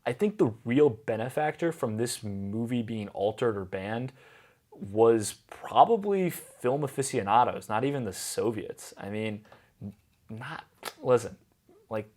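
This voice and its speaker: A man's voice: medium pitch, a little mellow. A young man giving a scripted commentary vlog with wry, sarcastic asides. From United States. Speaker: vlogger.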